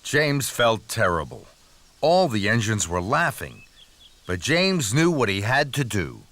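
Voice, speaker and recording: A man narrating a scripted children's story in an expressive storytelling manner. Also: fairly low pitch, unhurried. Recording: music under the voice.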